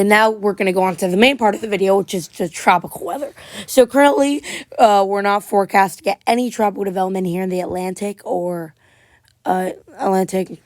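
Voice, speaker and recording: A woman giving a casual tropical weather update, her voice going hoarse as she keeps clearing her throat and restarting her sentences. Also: mid-range voice, a little mellow, no room sound. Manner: halting, many restarts and fillers. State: losing voice, frequent throat clearing. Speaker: amateur weather forecaster.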